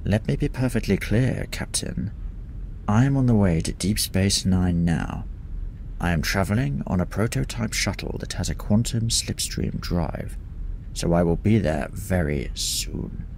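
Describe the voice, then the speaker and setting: A menacing senior officer of a secret agency, played by a man, deep and gravelly. He is imperious and deliberate in sci-fi drama dialogue, with faint noise behind him. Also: expressive, a little mellow, exaggerated voice. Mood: coldly threatening. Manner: stern, deliberate. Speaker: actor in a science-fiction fan drama.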